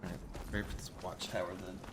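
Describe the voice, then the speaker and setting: A man's voice: low-pitched, little pitch movement, quick pace, very noisy. A man playing a mild, grumbling adventurer in a game, muttering his thoughts in a hesitant, conversational way. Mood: musing. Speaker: role-playing game player. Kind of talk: in-character improvised gameplay narration.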